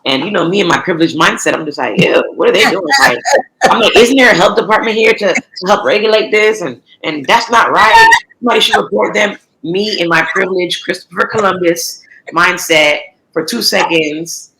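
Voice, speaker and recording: A woman's voice: fairly low pitch, fairly quick, sharp tone, rough recording. A woman in her thirties, an entrepreneur from the United States, chatting in an interview with lots of restarts and fillers. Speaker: recycling entrepreneur, guest. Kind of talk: casual interview talk.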